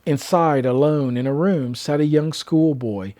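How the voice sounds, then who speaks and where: An older man narrating a children's storybook as storyteller, with expressive, measured read-aloud delivery. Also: a little high, dry recording.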